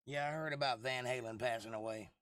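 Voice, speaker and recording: A man with a hoarse, froggy voice hosting a casual livestream, rambling and joking at his own expense as he replies to viewers. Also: mid-range voice, steady tone, fast talker, fairly bright tone, dry recording. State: lost voice for months, throat clearing. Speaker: livestream host.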